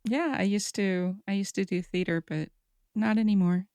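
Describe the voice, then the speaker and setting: A woman hosting a casual podcast interview, relaxed and wry, laughing often. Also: medium pitch, normal speed, clean tone, dry recording. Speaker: podcast host.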